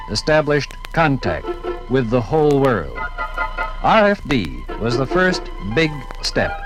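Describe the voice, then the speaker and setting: An American male narrator reads a scripted radio documentary in 1950s style. His pitch is in the middle range. Some music is heard behind him. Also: poor audio.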